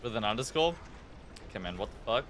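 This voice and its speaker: A man's voice: lowish voice, lively intonation, quick pace, fairly bright tone, slight background noise. A man with a New Zealand accent chatting casually and informally on a gaming livestream, rambling and swearing freely. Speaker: live game streamer.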